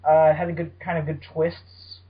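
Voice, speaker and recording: A man's voice: on the high side, monotone, normal speed. A man hosting a podcast, chatting casually about fan fiction with banter and frequent swearing. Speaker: podcast host. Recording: dull lo-fi podcast audio.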